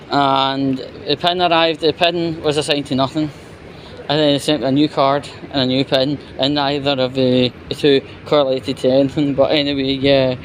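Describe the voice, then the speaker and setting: A man with a Scottish accent gives an exasperated, sweary, halting off-the-cuff rant while out walking. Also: mid-range voice. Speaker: vlogger. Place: outdoors, walking. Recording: noisy outdoor street with traffic and crowd.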